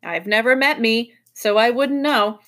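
A woman voicing a silly, vague, mournful male storybook creature with a drawn-out, absent-minded, sing-song delivery. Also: on the high side, fairly bright tone. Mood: puzzled.

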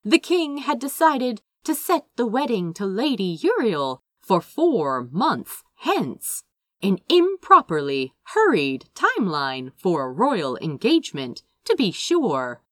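A woman reading a story aloud as an audiobook, with expressive, theatrical scripted narration. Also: medium pitch, takes it slowly, no echo, studio quality. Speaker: audiobook reader.

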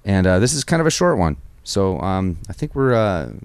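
A man's voice: low-pitched, big pitch swings, normal speed, clean tone, no room sound. A man hosting a podcast, talking in a rambling, casual way, often saying "man" and "dude" and swearing, recorded in a photo studio. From Washington State. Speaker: podcast host. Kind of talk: casual interview chat.

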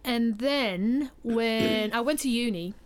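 A young woman from Portugal, co-hosting a podcast, telling her story in a casual, animated way with many restarts. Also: a little high, slow. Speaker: podcast co-host. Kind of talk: casual chat.

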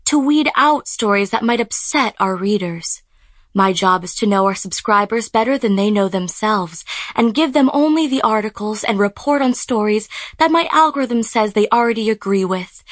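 A woman voicing a calmly menacing artificial intelligence in a radio drama, with measured, announcing delivery. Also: medium pitch, no room sound, studio quality, close to an everyday voice. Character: all-seeing office software, calmly menacing female artificial intelligence.

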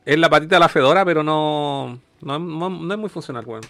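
A man's voice: a little high, fairly quick, pushed and bright, dry recording, faint music behind. A man with a Chilean accent chatting casually as a co-host reacting to a video, joking with plenty of slang and swearing. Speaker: show co-host.

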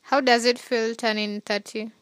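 A woman co-hosting a casual chat, relaxed and teasing, with soft laughter. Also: on the high side, normal speed, dry recording, studio quality. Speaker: podcast co-host.

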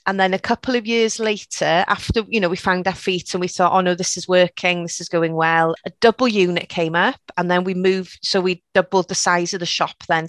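A Welsh woman who runs a shop, chatting freely in an interview and often doubling back to restart a thought. Her voice sits at a middle pitch. The sound is close and dry. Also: fairly bright tone, no room sound. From Wales. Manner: chatty, frequent restarts and "you know". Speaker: shop owner guest. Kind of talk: casual interview chat.

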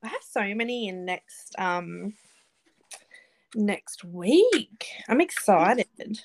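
A woman's voice: mid-range voice, very animated, very slow, dry recording. A woman in her late twenties co-hosting a casual podcast, giggly with eager reactions. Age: 20s. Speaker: podcast co-host. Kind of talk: casual chat.

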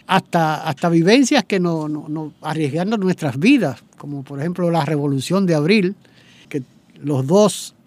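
An older man with a Dominican accent, introducing his guest on a radio show, halting often with fillers and restarts. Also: on the high side, a little mellow, dry recording.

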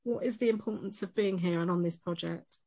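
A woman hosting a podcast discussion. She speaks gently and haltingly, with frequent fillers and restarts as she introduces guests and asks follow-up questions. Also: on the low side. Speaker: host.

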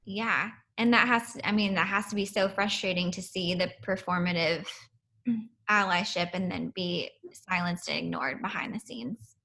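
A podcast host, a woman with a bright, slightly tense tone. She responds to her guest in a soft-spoken, sympathetic way. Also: fairly low pitch, fairly even intonation, moderate pace, creaky, poor audio. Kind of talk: interview conversation.

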